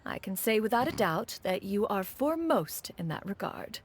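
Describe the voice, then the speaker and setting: Woman acting a haughty, stately immortal master, animated delivery. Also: medium pitch, moderate pace, slight background noise, lightly acted. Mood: flattering, formal. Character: proud, formal adeptus woman, haughty but doting master. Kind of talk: video game voice acting.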